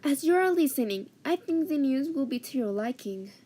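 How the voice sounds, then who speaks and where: A respectful, earnest servant, played by a woman in a radio drama, bringing news to the master. The voice is fairly high and very soft-edged, with slightly foreign phrasing. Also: expressive, normal speed. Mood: earnest, deferential. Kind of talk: amateur radio drama, scripted acting.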